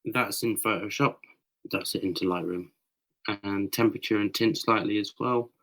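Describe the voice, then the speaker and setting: A British man with a slightly croaky voice, explaining his photo edits step by step in a casual podcast chat over a voice call. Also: fairly low pitch, fairly even intonation, normal speed, fairly bright tone. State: a bit croaky.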